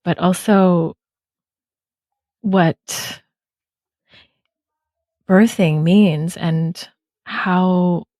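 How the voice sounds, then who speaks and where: A woman hosting an interview podcast, speaking gently and affirming her guest with soft agreement. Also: on the low side, steady tone, extremely slow, no room sound. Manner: gentle, affirming, lots of mm-hmms. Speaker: podcast host. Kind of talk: casual interview chat.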